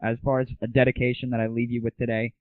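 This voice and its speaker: A man's voice: mid-range voice, level tone, fast talker, no echo. A man hosting a casual audio show, ranting in a blunt, irreverent and exasperated manner. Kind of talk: casual opinionated gaming and music rant. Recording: tinny, phone-like lo-fi 1990s audio.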